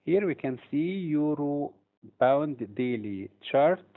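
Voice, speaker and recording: A man from Egypt, a trading instructor, presents a webinar in a steady, explanatory way with occasional hesitations. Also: fairly high pitch, slow pace. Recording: tinny phone-like audio.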